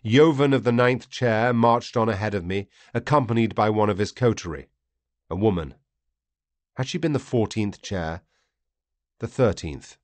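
A man narrates dramatic fiction in a low voice. His delivery is grave, theatrical and deliberate, and the recording is dry and close. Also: dry recording. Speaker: audiobook narrator. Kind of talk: dramatic first-person scripted narration.

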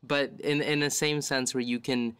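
A man narrating a tabletop game as game master, descriptive, measured and dramatic. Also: mid-range voice, steady tone, fairly bright tone, clear, resonant tone, no room sound.

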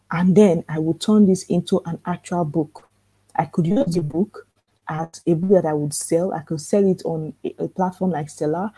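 A soft-spoken woman with a calm voice teaching a live online workshop for authors, earnest and repetitive, asking rhetorical questions. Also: fairly low pitch, slightly rough, no echo, poor audio. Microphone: computer mic in a live webinar.

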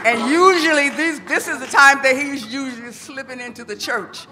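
High-voiced woman making church announcements. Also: moderate pace. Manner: chatty, laughing, teasing. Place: large church sanctuary. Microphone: handheld mic. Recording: reverberant church hall with music.

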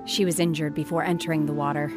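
A reserved young warrior from a video game, voiced by a woman. She talks calmly in short, detached phrases, on the low side, with quiet sincerity. Music runs underneath. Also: brisk, lightly acted. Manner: terse, detached, calm. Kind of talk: scripted video game voice acting.

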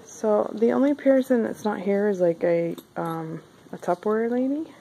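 A woman's voice: medium pitch, normal speed, gravelly, dark tone, slight background noise, low-quality recording. A woman casually vlogging a walk-through of a craft bazaar, chatty and upbeat with lots of fillers. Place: indoor craft bazaar hall.

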